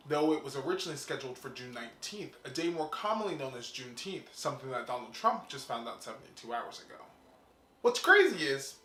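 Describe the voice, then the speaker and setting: A man hosting a show, delivering a fast, profane comedic monologue before interviewing a guest. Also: fairly high pitch, sharp tone, roomy sound. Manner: quick, profane, joking delivery. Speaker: host.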